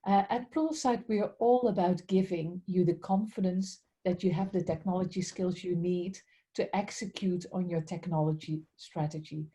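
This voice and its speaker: Dutch-accented woman, warm and mellow. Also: fairly low pitch, normal speed. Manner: polite, repeatedly says she is grateful. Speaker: head of strategic accounts. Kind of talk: webinar presentation. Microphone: video call.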